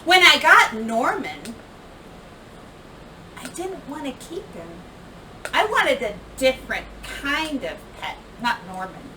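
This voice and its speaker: A woman reading a children's picture book aloud like a teacher, with expressive, emphatic delivery that acts out the words. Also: high voice, slow pace, long pauses. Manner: animated, emphatic delivery with acted-out dialogue and sound words. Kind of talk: expressive storybook read-aloud. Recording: roomy, distant microphone, clear background noise.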